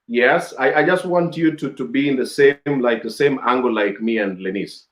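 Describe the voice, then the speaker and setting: A man's voice: mid-range voice, moderate pace. A man hosting a casual interview over a video call, chatty and laughing often with many fillers. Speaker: host. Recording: echoey room.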